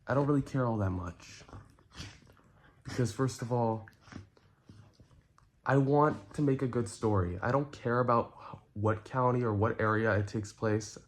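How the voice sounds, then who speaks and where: A man who creates online content, talking casually and earnestly to his viewers in a halting way, repeating phrases for emphasis. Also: mid-range voice. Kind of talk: casual explanatory rant to viewers.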